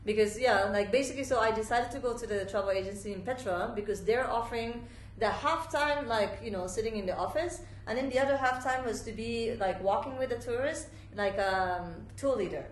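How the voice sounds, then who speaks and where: A woman's voice: a little high, brisk, few pauses. A woman from Japan, a native Japanese speaker, talking informally as an interview guest and telling personal stories with lots of casual filler phrases. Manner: informal, frequent "like" and "you know". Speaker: podcast guest, fashion designer. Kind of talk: conversational interview, personal storytelling. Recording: roomy echo, clear background noise.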